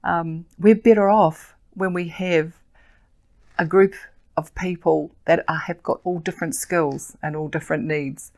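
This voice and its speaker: Older kiwi woman, earnest advocate, lots of ums, low creaky voice. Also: fairly even intonation, darkish tone. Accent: New Zealand. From New Zealand. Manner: earnest and measured, with frequent fillers. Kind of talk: interview answers.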